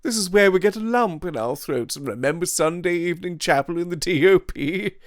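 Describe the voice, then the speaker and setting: A man's voice: high voice, huge pitch swings, unhurried, no room sound, clean studio sound, pitched above the performer's own voice, full character voice. A man reading an audiobook, voicing Devine, a slender, glib and mocking male schoolfellow with an arch, sardonic way of talking. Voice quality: flippant, arch, sardonic banter.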